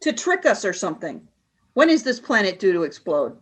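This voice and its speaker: A woman voicing a cold, commanding female alien leader in a fan radio play, giving flat, clipped orders. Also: medium pitch, fairly quick, husky.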